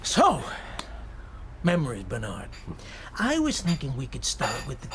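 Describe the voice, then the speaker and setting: A man's voice: medium pitch, huge pitch swings, measured pace, background noise. An actor playing an earnest, wry war-veteran writer who tells his story in a radio drama. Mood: earnest, conciliatory. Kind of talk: radio drama acting and literary narration. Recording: music under the voice. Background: music.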